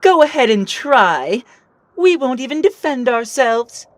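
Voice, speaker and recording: Woman playing a high-voiced, haughty villain officer. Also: very animated, measured pace, no room sound. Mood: mocking. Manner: mocking, haughty. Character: smug, taunting female elite alien enemy officer. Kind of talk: amateur animation voice acting.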